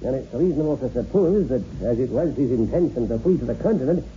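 An actor playing an urbane country doctor in a 1960s radio horror play, polite, measured and a little condescending. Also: male voice, medium pitch, animated, natural voice. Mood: musing. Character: urbane, speculative male village doctor, a bachelor. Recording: muffled, faintly noisy old radio recording.

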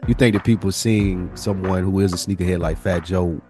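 A man's voice: deep voice, steady tone, brisk, darkish tone, faint music behind. A man hosting a casual podcast, talking in a slangy, conversational way and putting questions to his guests. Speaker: podcast host.

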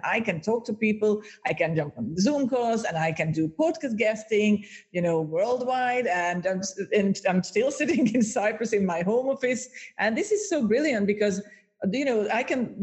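A woman's voice: mid-range voice, darkish tone. A woman from Hungary, a native Hungarian speaker with a Hungarian accent, talking upbeat and searching for words as a guest from her home office. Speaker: podcast agency owner, guest. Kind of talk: casual remote interview.

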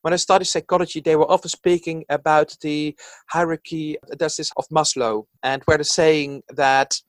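A man from the Netherlands with a Dutch accent talks in an earnest, thoughtful way, sharing his views as an interview guest. His voice sits fairly high for a man. The sound is dry, with no room around it. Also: fairly even intonation, normal speed, no room sound. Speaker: psychologist guest. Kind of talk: reflective interview talk.

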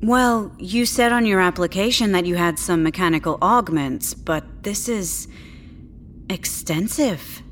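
An actress playing a polite, self-assured clinic doctor with a reassuring, professional manner in a science-fiction audio drama. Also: female voice, fairly low pitch, measured pace, fairly bright tone, slight background noise. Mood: astonished.

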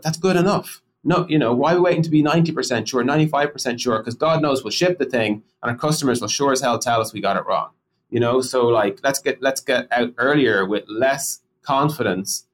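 A man's voice: medium pitch, slight background noise. A man co-hosting a casual podcast, talking animatedly and firing off rhetorical questions as he acts out colleagues. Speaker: podcast co-host discussing product.

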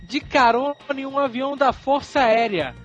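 A man's voice: very high-pitched, normal speed, lo-fi sound, faint music behind. A Brazilian man co-hosting a podcast, telling stories and bantering in a colloquial, mock-serious way. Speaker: podcast co-host. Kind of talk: casual storytelling and banter.

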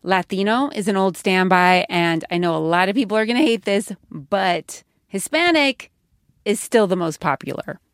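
Woman podcast host, measured clear narration, dry studio sound. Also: mid-range voice, animated, no echo. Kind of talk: scripted narration and interviewing.

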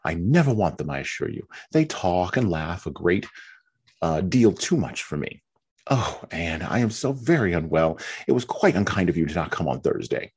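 A man's voice: deep voice, big pitch swings, moderate pace, darkish tone, husky, full character voice. A man reading a novel aloud, voicing a fretful, self-pitying young married woman who whines and complains. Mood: complaining. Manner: whiny, complaining.

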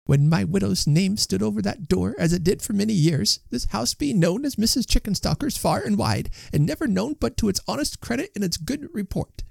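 A man's voice: high-pitched, gravelly, darkish tone, no echo, clean studio sound, pitched above the performer's own voice, exaggerated voice. A man voicing an indignant, kind-hearted old shopkeeper's wife with emphatic delivery in an audiobook. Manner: emphatic, scolding, repetitive. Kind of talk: audiobook character voice.

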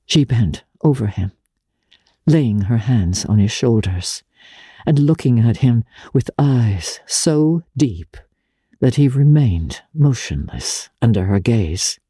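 A woman with a low, mellow voice gives a dramatic literary reading. She is unhurried and deliberate, shaping each phrase with expression, in a close, dry recording with a soft, slightly husky edge. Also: animated, no room sound. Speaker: audiobook narrator.

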